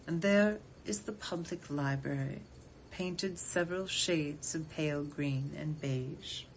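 A woman reading a poem aloud in an expressive literary style, with measured, deliberate phrasing. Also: lowish voice, low-quality recording. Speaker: poetry reader. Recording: faint background noise.

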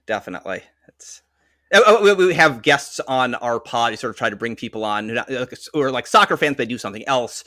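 A man's voice: medium pitch, expressive, brisk, pushed and bright, no echo, clean studio sound. A man from Western Massachusetts with an American accent, talking sports casually as a podcast guest. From Western Massachusetts, United States. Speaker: podcast guest, soccer commentator.

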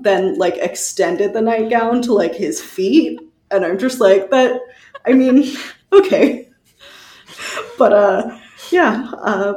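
A woman co-hosting a podcast, presenting researched history in a conversational, wry and animated way with giggly asides. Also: medium pitch, echoey room. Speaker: podcast co-host.

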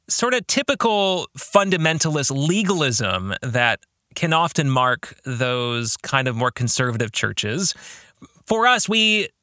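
A middle-aged man hosting a reflective book club podcast, speaking earnestly and deliberately, often posing rhetorical questions. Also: medium pitch, pushed and bright, dry recording, clean studio sound. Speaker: podcast host and author.

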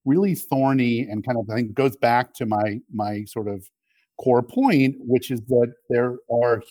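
A middle-aged man in a conversational political discussion, arguing in a halting, reflective way. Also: mid-range voice, fairly even intonation, warm tone, no room sound. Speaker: interview guest.

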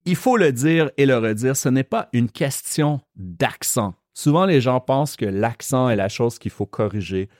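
A man with a Quebec French accent hosting a podcast lesson, explaining slowly and carefully. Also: mid-range voice, lively intonation, darkish tone, dry recording, studio quality. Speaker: French teacher and podcast host.

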